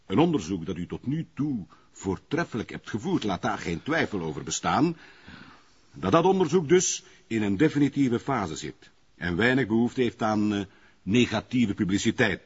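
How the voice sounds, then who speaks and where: An actor playing a pompous, evasive middle-aged burgomaster in a TV crime drama, with formal, wordy phrasing and a Flemish Belgian accent. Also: male voice, fairly low pitch, big pitch swings, normal speed, breathy edge, heavily acted. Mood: placating. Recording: muffled, lo-fi broadcast audio.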